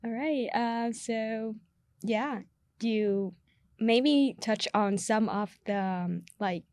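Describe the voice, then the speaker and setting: A woman's voice: fairly high pitch, slow pace, darkish tone. A young woman hosting a podcast, a native Vietnamese speaker, talking in an easygoing way with frequent fillers and laughter. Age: young adult. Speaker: podcast host. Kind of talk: casual podcast chat. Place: small studio.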